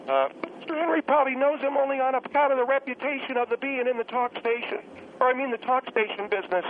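A man voices a polite young male caller on a comedy radio show. The voice sits high, with a solemn, halting, deferential manner. It comes over a tinny telephone connection. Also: steady tone. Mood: earnest. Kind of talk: comic radio phone-in. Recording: tinny, noisy phone line.

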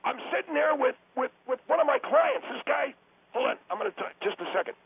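A man voicing a pushy, crude radio caller who talks over the host and sometimes drops to a hushed mutter. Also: very high-pitched, huge pitch swings, brisk, vocal fry, no echo, heavily acted. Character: loud brash male radio caller. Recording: tinny phone line.

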